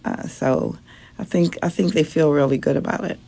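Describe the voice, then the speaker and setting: Woman with a really low calm voice, soothing, radio guest. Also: normal speed, vocal fry, slight background noise. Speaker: trauma counselor, guest. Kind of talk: radio interview answers.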